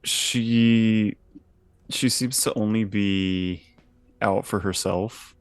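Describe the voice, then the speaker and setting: Low-voiced man, closely recorded. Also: steady tone, extremely slow, clear, resonant tone, dry recording. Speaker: podcast panelist. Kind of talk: casual chat about a tabletop game.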